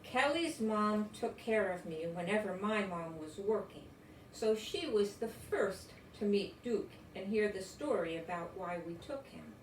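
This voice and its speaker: An older woman from the United States giving an expressive read-aloud of a children's story. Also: mid-range voice, a little slow. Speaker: storybook reader. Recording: roomy, distant mic, faint noise.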